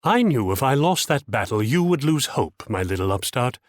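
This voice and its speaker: Male actor as a haughty male commander, strategy game character, pompous, patronizing, widely swinging intonation, mid-range pitch, dry close sound. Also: big pitch swings, moderate pace, no echo, natural voice. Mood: smug triumph. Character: haughty, shrewd Dutch military commander, condescending mentor and rival.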